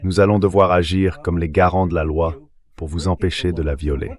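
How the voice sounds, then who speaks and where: Deep synthetic male voice, formal commentary. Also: flat delivery, fairly quick. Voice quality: synthetic AI voice-over. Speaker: political commentator. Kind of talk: dubbed interview commentary.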